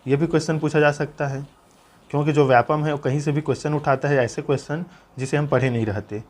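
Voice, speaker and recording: A man's voice: on the high side, steady tone, fast, darkish tone. A man teaches an exam-preparation lesson, explaining notes aloud in an instructive way and pressing listeners to remember key points.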